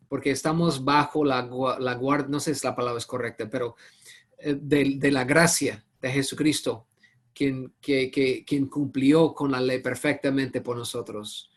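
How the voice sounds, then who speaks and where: A man with English as his first language leading an online Bible study over a video call, often halting and searching for words. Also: medium pitch, steady tone. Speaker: Bible teacher.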